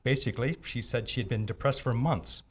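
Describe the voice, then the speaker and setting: A man acts out a cocksure homicide detective from a 1950 radio mystery. He has a mid-pitched voice and a clipped, smug, wisecracking way of talking. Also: brisk, a little hiss or hum, close to an everyday voice. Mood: matter-of-fact. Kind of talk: old-time radio acting. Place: theatre stage, live performance. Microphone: stand mic on stage.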